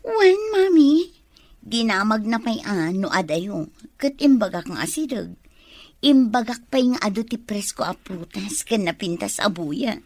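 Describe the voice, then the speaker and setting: A woman's voice: mid-range voice, animated, measured pace, no room sound. A woman with a Filipino accent playing an eager young secretary and obedient daughter in a radio comedy drama. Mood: eager, conspiring.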